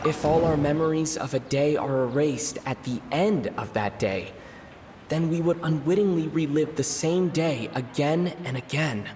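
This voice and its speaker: A man playing a thoughtful young traveler hero in a video game, reasoning in a measured way. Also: fairly high pitch, a little mellow, slight background noise, close to an everyday voice. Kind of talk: video game cutscene acting. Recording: long reverb, faint ambience.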